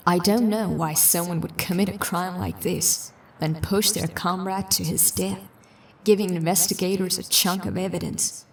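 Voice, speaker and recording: A woman's voice: fairly low pitch, fairly even intonation, normal speed, recorded from a distance, close to an everyday voice. A woman playing a determined, sceptical police detective in a science fiction audio drama, musing and dry in her delivery. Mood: analytical. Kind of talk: audio drama dialogue and investigative monologue.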